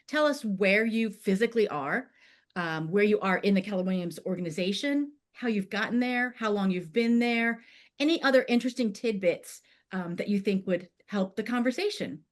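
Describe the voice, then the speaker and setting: Lady hosting an online webinar, cheerful and organized, mid-pitched voice. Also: moderate pace. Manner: upbeat, welcoming, organized. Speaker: webinar host. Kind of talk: hosting a live webinar interview.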